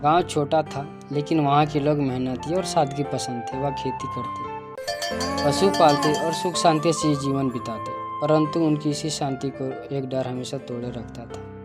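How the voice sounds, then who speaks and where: A man's voice: mid-range voice, steady tone, unhurried, loud music behind the voice. A man narrating a scripted cartoon folk tale as storyteller.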